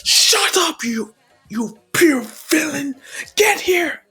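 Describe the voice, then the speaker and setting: A man's voice: extremely high pitch, expressive, slow pace, sharp tone, soft background music, voice pushed up, heavily acted. A man voicing alarmed, hostile heroes and onlookers in an improvised anime story. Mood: angry, shouting.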